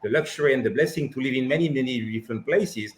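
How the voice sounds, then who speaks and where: A man with a French accent, his first language Armenian, speaks reflectively as a panel guest. His voice sits at an ordinary middle pitch. The room gives a clear echo around him. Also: quick pace. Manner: reflective, many restarts and "you know" fillers. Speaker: panel guest, business leader. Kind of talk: webinar panel discussion. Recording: roomy echo.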